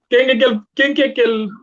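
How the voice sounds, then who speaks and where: A man's voice: very high voice, muffled recording. A man with a Cape Verdean accent hosting a casual live chat in a jovial manner. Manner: jovial, reads out viewer comments. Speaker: interviewer, host.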